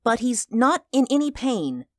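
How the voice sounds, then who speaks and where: A man voicing an uneasy, solemn company messenger in a story, speaking in a halting, quiet, formal way. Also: very high-pitched, sing-song, no echo, clean studio sound, pitched above the performer's own voice, exaggerated voice. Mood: grave. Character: ill-at-ease, solemn male company messenger bearing bad news.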